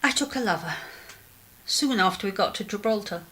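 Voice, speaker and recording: A woman's voice: mid-range voice, expressive, normal speed, fairly bright tone, a little hiss or hum, natural voice. An actress playing a genteel, regretful middle-aged clergyman's wife in a 1930s radio drama, speaking in a formal, hesitant way. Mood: confessional, subdued.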